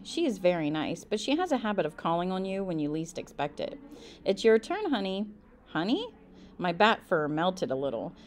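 A woman reads a children's book aloud in an expressive, emphatic storytelling style. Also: on the low side. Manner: animated, emphatic delivery.

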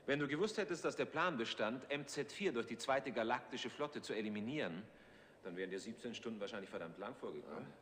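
Reverby hissy old tv sound, actor as dry confident space major. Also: male voice, medium pitch, lively intonation, quick pace, a bit bright, lightly acted. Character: dry, self-assured male spaceship major. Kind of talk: 1960s TV drama acting.

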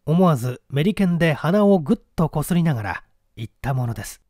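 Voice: medium pitch, lively intonation, fairly quick, warm tone, dry recording, clean studio sound. An audiobook narrator reading a story theatrically, addressing listeners in a formal storyteller manner.

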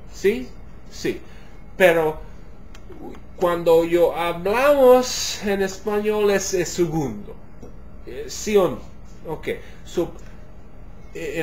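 A man in his thirties speaks slowly in a slightly raised voice, working through hesitant second-language Spanish with lots of fillers and restarts. He is a native English speaker who gestures animatedly as he talks. He is picked up by a webcam in a home kitchen, with a faint hiss behind him. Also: a little high, darkish tone. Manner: gestures animatedly while talking. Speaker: interviewee, musicology professor. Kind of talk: casual on-camera interview. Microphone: webcam at desk distance.

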